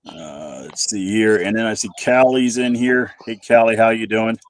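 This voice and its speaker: A man's voice: fairly low pitch, moderate pace, dry recording. Casual male host, scratchy with a cold. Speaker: host of a panel livestream. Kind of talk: casual chat, hosting.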